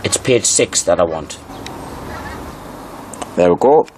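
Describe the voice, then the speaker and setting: A man's voice: low-pitched, expressive, slow pace, darkish tone, a little hiss or hum. A man giving informal video commentary and reading passages aloud, emphatically repeating key phrases. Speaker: narrator presenting old newspaper articles.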